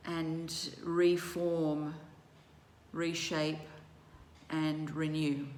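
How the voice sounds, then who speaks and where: A woman leading a guided relaxation as a yoga teacher, speaking in measured, soothing short phrases with long pauses. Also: lowish voice. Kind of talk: guided meditation instruction. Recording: roomy echo with faint background noise.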